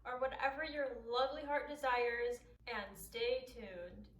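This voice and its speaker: A young woman in her twenties, a native Arabic speaker raised in the United States, talks openly to her camera with a high, bright pitch. She chats easily and laughs often. The sound is roomy and a little distant from the mic, with faint music underneath. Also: a little slow. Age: 20s. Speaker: vlogger. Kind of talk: candid personal talk to camera.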